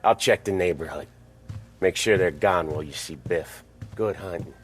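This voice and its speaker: A man's voice: low voice, normal speed, close to an everyday voice. A man playing a composed, businesslike mobster in a game, speaking tersely. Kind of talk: game cutscene acting.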